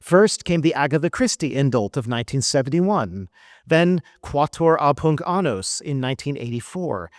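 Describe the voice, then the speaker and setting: A middle-aged American man giving a formal keynote speech at a gala, with an earnest, emphatic delivery and measured pauses. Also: medium pitch, dry recording, studio quality. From United States. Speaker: keynote speaker. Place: event hall.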